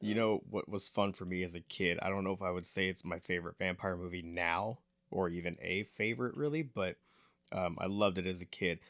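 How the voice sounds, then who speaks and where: A man's voice: low-pitched, fairly quick, a bit bright, no echo, clean studio sound. A man co-hosting a casual chat about films, informal and joking as he talks. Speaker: podcast co-host.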